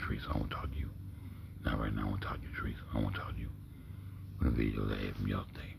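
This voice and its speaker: A man hosting a livestream with a big deep voice, talking low and dropping to a near-whisper as he reads text aloud, then riffing in loose, profane commentary. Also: vocal fry, noisy background. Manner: talks low, often drops to a near-whisper. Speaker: livestream host.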